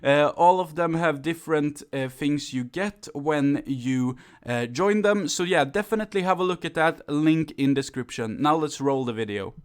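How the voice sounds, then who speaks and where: Young Swedish man with a high voice. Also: moderate pace, hardly any pauses. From Sweden. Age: 20s. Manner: chatty, many fillers and restarts. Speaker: YouTuber. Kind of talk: casual Q&A vlog. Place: home room. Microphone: phone camera.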